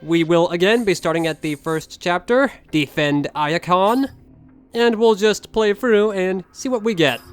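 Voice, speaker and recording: A man with a fairly high voice hosts a gaming video. He delivers scripted yet casual commentary over faint music. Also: a little slow, clean tone.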